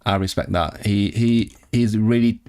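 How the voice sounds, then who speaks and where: A man's voice: on the low side, fairly even intonation, unhurried, a little mellow, smooth. Chatty man on a stream. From Hong Kong. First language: Cantonese. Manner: thinking aloud, many restarts and rising questions to chat. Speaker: language-learning streamer. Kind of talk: casual live-stream chat reading viewer comments.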